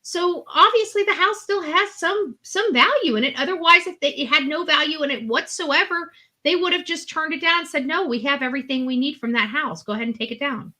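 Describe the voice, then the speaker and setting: A woman's voice: sits high, a little fast, pushed and bright. A woman in casual, opinionated discussion as a guest on a stream, speaking bluntly and emphatically, repeating herself for force. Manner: blunt, emphatic, repetitive, swearing. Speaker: guest panelist on a true-crime stream.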